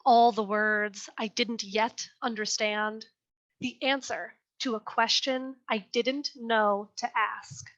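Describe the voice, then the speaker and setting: A young woman reading her poem slowly and with care, then answering questions in an earnest, chatty way over a video call. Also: on the high side, steady tone, fairly bright tone. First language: English. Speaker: poet reading her work. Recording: dull lo-fi video call audio.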